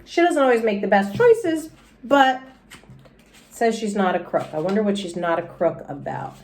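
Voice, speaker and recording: Animated woman reading aloud to children. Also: medium pitch, normal speed. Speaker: teacher reading aloud to students. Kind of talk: storybook read-aloud with asides and questions to children.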